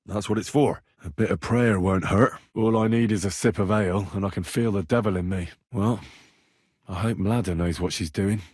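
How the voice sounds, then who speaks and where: A man's voice: fairly low pitch, fairly even intonation, normal speed, darkish tone, slightly rough, dry recording, studio quality. An actor playing an earnest, reassuring young medieval man tending the sick in a video game, speaking plainly and practically. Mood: calm, advising. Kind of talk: video game character dialogue.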